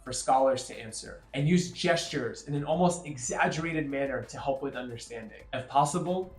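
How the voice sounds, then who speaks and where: A man, native Arabic speaker, talks through teaching advice in a measured, explanatory scripted voice at a middle pitch. His voice rings a little in an echoey room. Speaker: educator presenting teaching strategies. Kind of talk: scripted narration.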